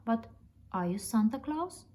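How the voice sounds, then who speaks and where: A woman's voice: fairly high pitch, big pitch swings, unhurried, mellow, voice pushed up. A woman voicing a polite, eager and earnest young bear from a children's storybook. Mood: eager, apologetic. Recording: noticeable background noise.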